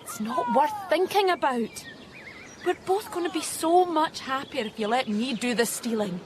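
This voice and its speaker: A woman playing an eerie alien replica of a child in a radio drama, glib, taunting and unnervingly calm. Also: high voice, normal speed, background noise. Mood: persuasive, calm.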